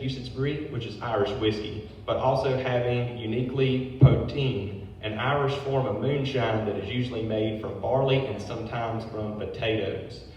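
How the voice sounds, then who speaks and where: A man, a brewery owner, giving a public history talk, reading from notes with casual asides. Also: medium pitch. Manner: informal asides between read passages. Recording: very echoey hall, distant mic, faint noise.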